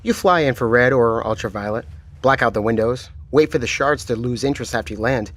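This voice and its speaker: A man playing a military commander, speaking in a calm, measured, matter-of-fact way. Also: mid-range voice, lightly acted. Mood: composed. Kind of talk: audio drama acting.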